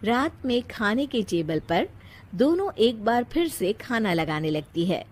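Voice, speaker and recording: A woman narrates a scripted moral story. Her voice sits somewhat high, and she takes her time, delivering each sentence in a measured storyteller's way. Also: sing-song, a little mellow.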